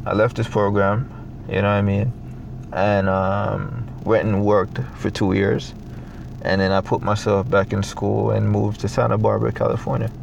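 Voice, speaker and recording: A young adult man from Jamaica with a Jamaican accent, talking in a reflective, halting way with many fillers as a guest in a casual interview. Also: fairly low pitch, level tone, vocal fry, a little mellow, dull audio, a little hiss or hum.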